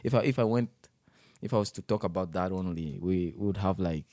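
A man's voice: low voice, a little fast, mellow, dry recording, clean studio sound. A young man in his twenties from the Congo, raised in Uganda, telling his life story in an interview with many fillers and restarts. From Democratic Republic of the Congo, raised in Uganda. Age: 20s.

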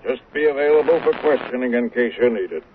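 An actor playing a police lieutenant with a measured, probing, official manner in an old-time radio drama. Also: male voice, mid-range voice, animated, close to an everyday voice. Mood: businesslike. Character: businesslike police lieutenant questioning a suspect. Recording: tinny, distant narrow-band old radio recording, hissy.

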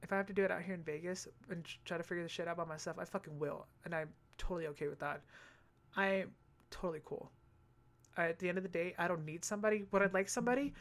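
A young man with a high-pitched voice, telling stories in a casual monologue, animated, swearing freely and tossing in sassy asides. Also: quick pace. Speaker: vlogger.

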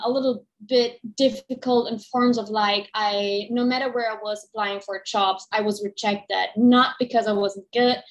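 A woman's voice: fairly high pitch, low-quality recording. A woman from East Germany with a German accent, telling her life story as a podcast guest in a candid, rambling way with many ums. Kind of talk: casual interview chat.

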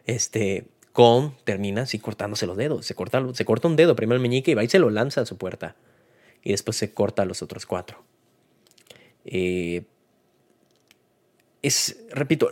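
A man with a Mexican accent gives a casual, unscripted film review in a conversational, colloquial way, like a host talking to listeners. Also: medium pitch. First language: Spanish. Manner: conversational, colloquial, retells scenes with acted-out quotes.

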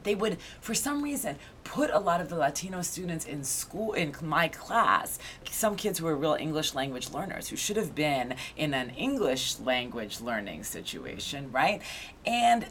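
A woman in her thirties, an author being interviewed, telling her story in a lively way with frequent restarts and "right?" tags. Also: sits low, a little slow, slight background noise. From Argentina. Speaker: guest, author and education researcher. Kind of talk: conversational interview.

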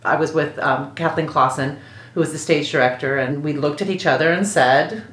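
A woman's voice: low-pitched, normal speed, a bit bright. A woman with an American accent, recounting a personal anecdote as an interview guest in an echoing room. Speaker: opera librettist, interview guest. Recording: roomy, echoing interview sound.

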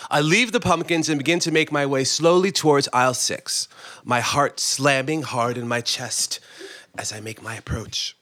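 A man's voice: medium pitch, a bit bright. A man reading a comic story aloud with theatrical, dramatic delivery, acting out characters as he goes. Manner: theatrical, acts out characters, breaks into chuckles. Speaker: story reader, performer. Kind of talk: dramatic comic audiobook narration.

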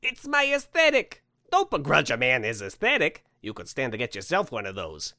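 A man's voice: medium pitch, huge pitch swings, moderate pace, sharp tone, dry recording, studio quality, full character voice. Man putting on a boisterous, swooping storybook Santa. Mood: defensive. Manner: folksy, boisterous, cajoling. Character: jolly eccentric Santa, brash, pushy, tube-obsessed wish granter.

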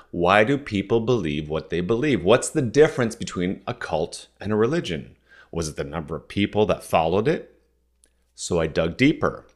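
A man in his forties with a somewhat low voice carries a solo podcast monologue. He grew up in Southern Ontario speaking French first. His delivery is measured and persuasive, often turning his points into questions. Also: a bit bright. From Southern Ontario, Canada. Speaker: podcast host.

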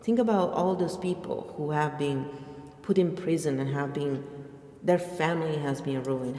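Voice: mid-range voice, a little mellow. A podcast host reading a book aloud and adding casual commentary, with emphatic stress on key words. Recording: echoey room with long reverb.

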